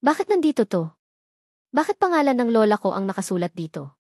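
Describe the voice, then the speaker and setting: A woman's voice: a little high, very animated, a little fast, a little mellow, dry recording, studio quality. A woman voicing a hurt, proud young maid in a story, emphatic and accusing. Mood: shocked, angry.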